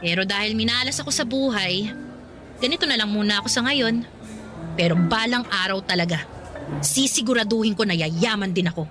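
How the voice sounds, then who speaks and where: A woman's voice: mid-range voice, brisk, natural voice. An actress playing a feisty, blunt young working-student girlfriend with a Filipino accent, sassy and complaining, in a radio drama. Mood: bitter, determined. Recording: music behind the voice.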